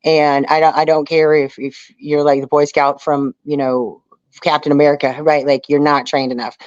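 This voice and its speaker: A woman's voice: low voice, level tone, normal speed, a little mellow, no room sound, muffled recording. A woman giving a casual interview, talking in an informal, emphatic storytelling way. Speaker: reality show castaway being interviewed.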